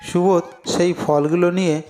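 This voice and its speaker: High-pitched male cartoon storyteller. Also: flat delivery, moderate pace, warm tone, soft background music. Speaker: storyteller narrator. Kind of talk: scripted cartoon story narration.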